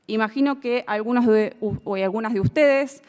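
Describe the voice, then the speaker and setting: A woman's voice: a little high, moderate pace, darkish tone, smooth, big-room sound. A woman gives a formal explanatory presentation, lecturing with many "eh" hesitations and checking in with the audience. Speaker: expert speaker presenting a bill.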